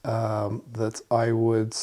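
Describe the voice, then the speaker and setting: A man from Canada, interviewed for a documentary, recalling things in a reflective, unhurried way. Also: on the low side, monotone, mellow.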